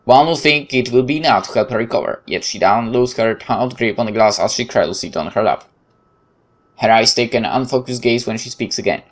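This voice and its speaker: A male game streamer with a Polish accent reads visual novel narration aloud. His reading is expressive, with an occasional stumble and brief commentary. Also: mid-range voice, fairly quick.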